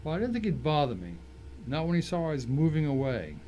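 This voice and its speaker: A man's voice: a little high, sing-song, fast talker, warm tone, muffled recording. A man reading a scripted first-person thriller story as its narrator, in a dramatic, suspenseful way.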